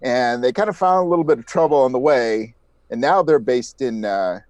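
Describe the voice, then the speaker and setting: A man's voice: mid-range voice, moderate pace, no echo. A man talking casually as an interviewed podcast creator.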